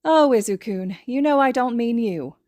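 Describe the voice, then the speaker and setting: A feisty mother, acted out by a woman narrator, with a raised pitch and a brisk delivery. She is a short-tempered woman in a storybook tale. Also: lively intonation, darkish tone, no echo, clean studio sound, natural voice. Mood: welcoming. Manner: brisk, scolding.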